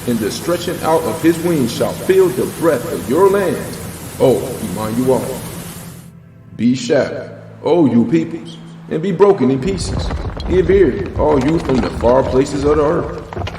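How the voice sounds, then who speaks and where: A man narrating a dramatized Bible reading in a solemn, declamatory and emphatic manner. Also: medium pitch. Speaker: scripture narrator. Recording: echoing voice over background music. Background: background music.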